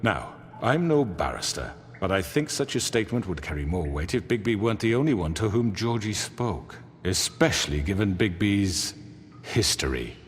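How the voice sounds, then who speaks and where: Silky crime boss, low, echoing hall. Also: male voice, big pitch swings, normal speed. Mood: mock-polite. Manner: silky, theatrical, mock-polite oratory. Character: smooth-talking male crime boss defending himself before townsfolk. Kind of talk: video game voice acting. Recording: echoey hall reverb.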